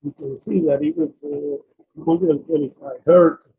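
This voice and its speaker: A man giving an explanatory academic slide presentation, speaking haltingly and often repeating and rephrasing himself. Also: fairly high pitch, fairly even intonation, vocal fry, narrow, tinny audio, slight background noise. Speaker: presenter of a technical talk.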